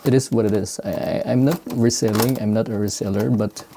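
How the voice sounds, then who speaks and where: A man from the Philippines with a Filipino accent, speaking non-native English in casual, halting unboxing commentary as he searches for words. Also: on the low side, steady tone, mellow, a little hiss or hum. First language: Filipino language. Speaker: sneaker unboxing vlogger. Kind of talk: casual unscripted product commentary.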